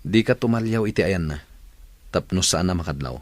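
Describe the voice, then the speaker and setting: Scheming lead thief for radio drama: very low voice, cautious, Ilocano accent. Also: male voice, expressive, a little fast, no echo. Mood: cautious, conspiring.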